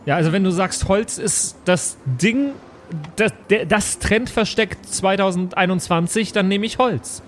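A man playing a naive, trusting, well-meaning fellow in an improvised tabletop game, speaking haltingly and earnestly. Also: high voice, a little hiss or hum, pitched above the performer's own voice.

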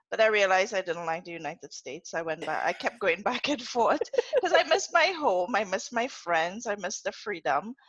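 A woman's voice: mid-range voice, no room sound. Middle-aged woman, Trinidadian accent, chatty storyteller, dry close sound, a bit muffled. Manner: chatty, laughing storyteller with lively asides. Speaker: guest. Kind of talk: casual podcast interview.

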